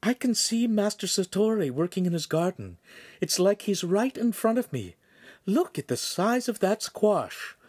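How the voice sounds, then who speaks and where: Man putting on a high, light, excited young girl. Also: measured pace, breathy edge, dry recording, full character voice. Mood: delighted. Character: sweet, wonder-struck teenage Italian girl.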